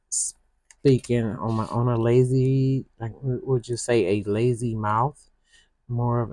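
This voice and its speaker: A slow soft-spoken Southern woman. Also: a bass voice, no room sound. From Michigan. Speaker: planner vlogger. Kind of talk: casual narration while decorating a planner.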